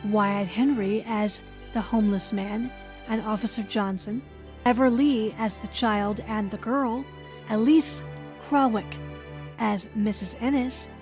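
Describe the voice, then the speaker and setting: A woman's voice: fairly high pitch, darkish tone. A woman announcer reading scripted closing credits for a radio theater program. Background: music.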